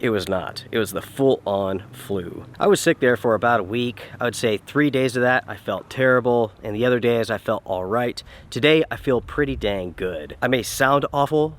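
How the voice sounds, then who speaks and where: Man, camp vlogger, nasal from flu, relaxed chatty read outdoors on camera mic. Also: mid-range voice, normal speed, hardly any pauses. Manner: relaxed, chatty, addresses viewers directly. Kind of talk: casual talk to camera. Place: outdoors at a campsite. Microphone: camera-mounted shotgun mic.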